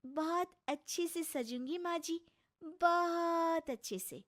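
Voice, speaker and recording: A woman's voice: very high-pitched, slow pace, a bit bright, pitched above the performer's own voice, heavily acted. A woman voicing a dutiful, meek and aggrieved young daughter-in-law in a story. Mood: sly compliance.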